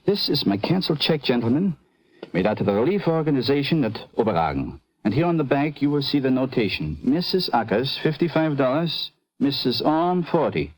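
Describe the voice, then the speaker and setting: A formal, husky, animated immigrant doctor. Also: male voice, mid-range voice, fairly quick, no echo. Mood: proud, precise. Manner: formal, precise, indignant. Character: proud, defensive immigrant European doctor, formal and earnest. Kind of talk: vintage radio drama acting.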